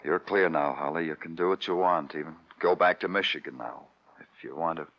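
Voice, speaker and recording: An American actor playing a weary, brooding New York police detective in an old radio crime drama, terse and sardonic. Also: male voice, a bass voice, a little fast. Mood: weary, stern. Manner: terse, sardonic, poetic in narration. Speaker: lead actor and narrator. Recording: muffled vintage radio recording, music behind.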